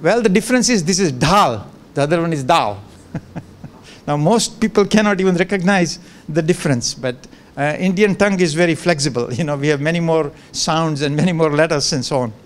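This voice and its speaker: An older man whose first language is Gujarati gives a relaxed, joking guest lecture into a handheld microphone in a large lecture room, with many fillers and restarts. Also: on the high side, fairly quick. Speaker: professor giving a guest lecture. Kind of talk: informal lecture with asides.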